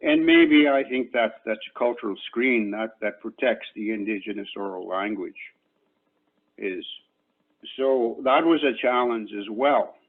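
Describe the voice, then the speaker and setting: Older cree-speaking man talking real slow, lots of pauses, tinny call audio. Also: medium pitch. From Canada. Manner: slow and measured, with many pauses and fillers. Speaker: Elder and invited speaker. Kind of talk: reflective talk at an online panel.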